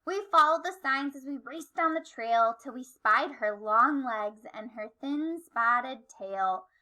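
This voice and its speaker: A woman narrates a children's storybook with expressive delivery. Her voice is high and bright, and she takes the rhymes at an unhurried, sing-song pace. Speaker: storybook reader.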